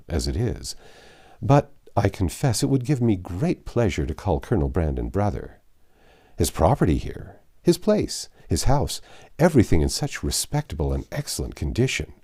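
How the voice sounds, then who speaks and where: A man reading the lines of a pompous, calculating gentleman who urges his sister, in a low voice. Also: big pitch swings, normal speed, full character voice. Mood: persuasive.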